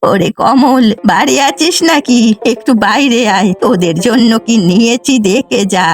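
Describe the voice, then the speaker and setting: A woman's voice: on the high side, expressive, normal speed, faint music behind. A woman voicing a kindly old village granny in a cartoon, affectionate and neighbourly. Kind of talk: Bengali cartoon voice acting.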